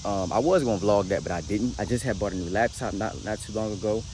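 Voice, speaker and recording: A young American man with a lowish voice talks directly to his audience in a casual motivational style. He is slangy and emphatic, often repeating phrases to drive them home. Clear background noise runs behind his voice. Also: fast. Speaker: motivational vlogger.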